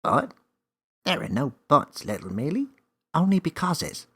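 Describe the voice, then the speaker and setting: A man's voice: a little high, huge pitch swings, unhurried, bright, forceful tone, breathy edge, voice pushed up, full character voice. A man voicing a tiny, cheeky elf in a children's story, friendly and bossy, with a teasing, theatrical manner.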